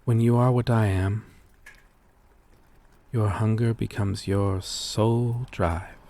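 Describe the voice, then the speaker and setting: Weary gentle vampire outcast, played by a man, very slow delivery, lowish pitch, hesitant and formal, intimate ASMR roleplay. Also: steady tone. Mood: remorseful, confessional. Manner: hesitant, confessional, formal old-fashioned wording.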